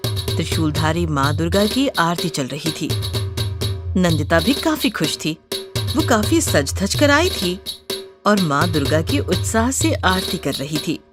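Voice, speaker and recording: A woman's voice: on the low side, very animated, unhurried, music in the background. A woman giving dramatic scripted narration of a horror story.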